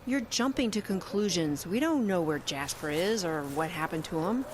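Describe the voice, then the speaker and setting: A woman's voice: fairly low pitch, lively intonation, normal speed, a little hiss or hum, natural voice. An actress playing a level-headed radio reporter in an audio drama, answering her grumbling partner with dry patience. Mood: defensive. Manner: dry, patient, mildly teasing. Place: outdoors in the woods.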